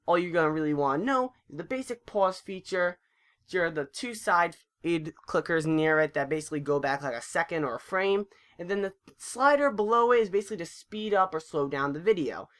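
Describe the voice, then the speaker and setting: Tutorial narrator for a screen recording: informal, chatty, mid-pitched voice, a little stumbling in delivery. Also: expressive, fairly quick, fairly bright tone.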